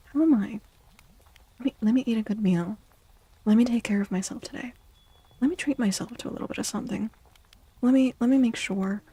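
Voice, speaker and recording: Breathy, soft-spoken woman doing ASMR. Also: medium pitch, no echo. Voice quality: breathy, often slipping into whisper. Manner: playful, cutesy, affectionate. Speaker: streamer doing tarot readings. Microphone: close microphone.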